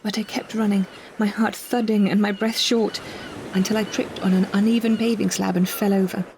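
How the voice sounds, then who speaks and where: A woman reading a first-person literary story in a measured, descriptive way. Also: mid-range voice, level tone, a little mellow, slight background noise, poor audio. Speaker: narrator and performer of a short story.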